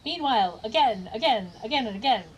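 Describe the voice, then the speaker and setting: A woman playing a practical gnome adventurer with a wry, brisk, expressive delivery. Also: fairly high pitch, slightly rough, low-quality recording.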